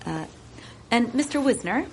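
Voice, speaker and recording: An actress playing a prim, stern office secretary in a radio drama, formal and reproving. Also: female voice, on the high side, animated, a little slow, a little mellow, slight background noise.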